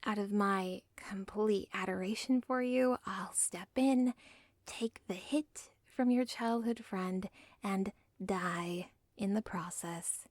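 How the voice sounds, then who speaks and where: Actress for obsessive young villain's daughter; intimate whisper, slow and breathy, dead-dry mic. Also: female voice, mid-range voice, no room sound. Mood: urgent. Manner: intimate, pleading, self-aware. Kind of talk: ASMR audio role play.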